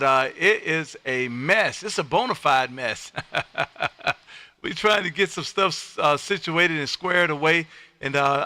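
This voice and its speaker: A man hosting casual amateur radio chat, with a loud, folksy, animated patter. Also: on the high side, clean tone, dry recording. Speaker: amateur radio operator and livestream host. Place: home radio room.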